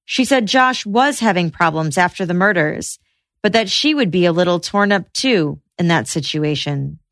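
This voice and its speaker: A woman podcast host narrating trial news in a measured, reportorial way. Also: lowish voice, animated, fairly bright tone, no room sound, clean studio sound.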